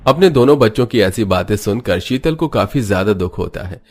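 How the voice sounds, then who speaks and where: A man's voice: fairly low pitch, animated, a little fast, darkish tone. A man giving scripted narration for a cartoon moral story, as the narrator.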